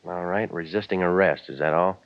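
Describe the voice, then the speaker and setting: An actor in 1940s radio drama playing a cynical, wisecracking private eye, terse and deadpan in narration and dialogue. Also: male voice, sits low, brisk, no echo. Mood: terse, needling. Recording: muffled vintage 1940s radio with music.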